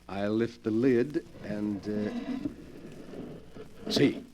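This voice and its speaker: An actor playing a gloating, mad collector of murder relics, theatrically polite, in 1940s radio style. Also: male voice, on the low side, drawn-out words, noisy background. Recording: vintage 1940s radio broadcast.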